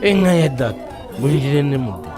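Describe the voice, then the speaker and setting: A man's voice: medium pitch, very animated, normal speed, close to an everyday voice. An actor with a Rwandan accent playing an indignant, argumentative potato farmer scolding his drinking companion in a radio drama. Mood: reluctant, protesting. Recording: music and bar chatter behind.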